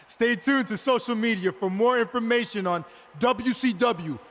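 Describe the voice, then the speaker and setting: A man's voice: very high voice, unhurried. A man playing an earnest, eager pro wrestler giving a showy scripted promo in a video game. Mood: proud, rousing. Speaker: wrestler announcing a tribute show. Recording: long arena reverb.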